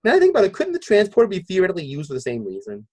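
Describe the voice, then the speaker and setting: A man hosting a casual podcast discussion, halting as he thinks aloud. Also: sits high, wildly swooping pitch, a little mellow, slightly rough, dry recording. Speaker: podcast host.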